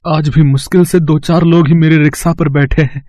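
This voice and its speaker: A man's voice: a little high, fairly even intonation, a little fast, dark tone, slight background noise, close to an everyday voice. A man playing a sorrowful, devout rickshaw puller in a cartoon moral story, plaintive and weary. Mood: weary, sad.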